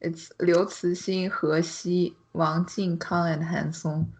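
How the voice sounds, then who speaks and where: Female, lowish pitch, very slow pace, informal conversational delivery. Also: level tone, a little mellow, rough recording. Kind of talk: casual chat.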